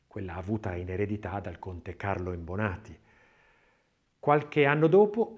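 A man narrating a scripted audiobook in an expressive, literary, dramatic reading style. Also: on the low side.

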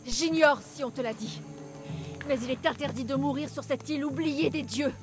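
A woman's voice: high voice, moderate pace, over music, lightly acted. An actress playing a wounded young female smuggler in a French game dub, weary and sardonic. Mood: bitter, wary.

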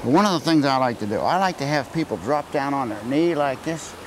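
An older man coaching fly casting while wading in a wooded trout stream, folksy and repeating his words as he demonstrates. His pitch sits in an ordinary middle range. A faint hiss of running water and outdoor ambience sits under his voice. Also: expressive, fairly quick, poor audio. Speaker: fly fishing guide. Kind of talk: outdoor fishing instruction.

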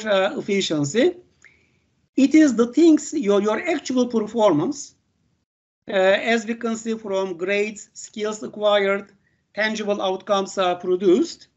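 An older man with a Turkish accent, a university professor giving an online lecture in a halting way, with many "uh" fillers and "okay" checks. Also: high voice, highs cut off. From Turkey.

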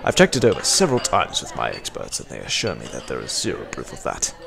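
A man's voice: very deep voice, huge pitch swings, fast, a bit bright, faint music behind, full character voice. A man playing a genial mansion host. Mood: breezy. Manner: smooth, hospitable, dismissive of trouble. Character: genial wealthy male mansion host, factory owner. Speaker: party host.

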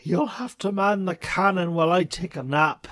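A man's voice: sits high, moderate pace, a bit bright, no room sound. A man voicing a cranky, miserly old male duck in a cartoon comic dub, scolding in fussy, old-timey exclamations. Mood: weary, grumbling.

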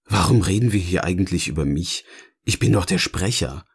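A man's voice: low-pitched, moderate pace, vocal fry, husky, exaggerated voice. A man acting a teasing, philosophical partner in scripted audio-novel dialogue, with a theatrical, questioning manner. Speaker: voice actor, narrator.

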